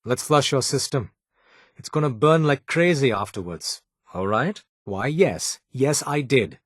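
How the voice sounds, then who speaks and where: Weary resistance medic, male, video game, condescending, clipped formal diction, swooping intonation, rough-edged voice. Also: medium pitch, expressive, measured pace, no room sound. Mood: dry, reserved. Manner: clipped, formal, dry and sardonic.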